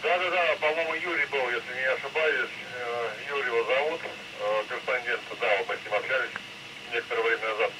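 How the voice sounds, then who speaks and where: A man's voice: medium pitch, fairly quick. A man chatting casually as a radio amateur, heard through a two-way FM radio. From Russia. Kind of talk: casual ham radio contact. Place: outdoors, heard over a handheld radio. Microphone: handheld radio transceiver. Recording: noisy two-way radio transmission.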